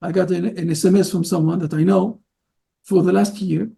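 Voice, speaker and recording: Gravelly high-pitched man, halting English. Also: fairly even intonation, warm tone. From Israel. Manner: halting non-native English with frequent fillers. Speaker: rabbi. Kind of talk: interview guest.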